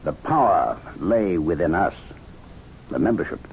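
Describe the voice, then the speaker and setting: An assured, didactic club gentleman holds forth in a radio mystery play. His delivery is oratorical and highly animated. The sound is a dull vintage recording with faint noise. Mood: assured, argumentative. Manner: oratorical, deliberate rhetorical phrasing. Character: authoritative male club member, assured, didactic, persuasive theorist. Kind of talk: radio mystery drama acting.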